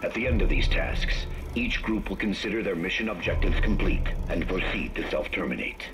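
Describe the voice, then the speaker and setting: An actor playing a solemn military commander giving grim final orders in a formal, measured address. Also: male voice, low voice, background noise. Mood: solemn, resolute. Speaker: military announcer. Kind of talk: formal scripted recorded address.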